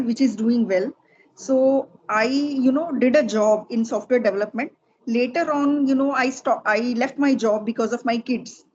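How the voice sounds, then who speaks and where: A woman's voice: a little high, darkish tone. Middle-aged Indian woman, dull lo-fi recording. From Delhi, India. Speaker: guest, spoken English teacher. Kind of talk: casual conversational interview in English. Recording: dull lo-fi sound with faint noise.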